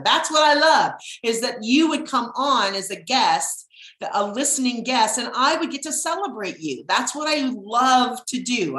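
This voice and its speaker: A middle-aged woman with a deep husky voice, hosting her podcast in casual chat, rambling with plenty of ums. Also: fairly bright tone, reverberant. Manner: chatty, rambling, with frequent ums. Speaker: podcast host.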